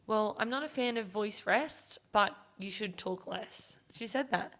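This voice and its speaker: Bright chatty Australian woman host. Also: mid-range voice, unhurried. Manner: giggly, chatty, self-correcting. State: slightly losing her voice. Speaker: podcast host and gym founder. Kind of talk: casual chat.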